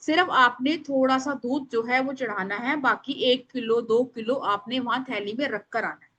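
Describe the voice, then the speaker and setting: A woman with a loud, strong voice, teaching an informal live online class in an instructive, emphatic way, mixing in English words. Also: a little high, normal speed, slightly rough. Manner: instructive, emphatic, addresses listeners by name; mixes English words into speech. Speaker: astrology remedies teacher.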